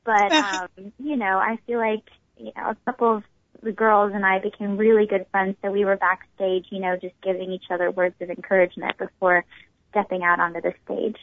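A woman's voice: medium pitch, fairly even intonation, a little fast, dry recording. A woman in her twenties with a small, tiny voice, answering interview questions politely with many ums. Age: 20s. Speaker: pageant winner guest. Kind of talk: phone interview. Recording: tinny phone line.

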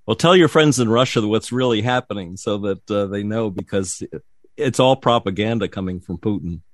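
Low-voiced male radio host. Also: moderate pace, no echo, clean studio sound. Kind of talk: radio interview.

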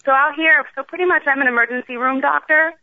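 A woman's voice: high-pitched, quick pace, no echo. Young woman, from the Bronx, ER doctor guest, friendly explaining, tinny phone line. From the Bronx, New York. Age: young adult. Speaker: guest, emergency room doctor. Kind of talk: phone interview.

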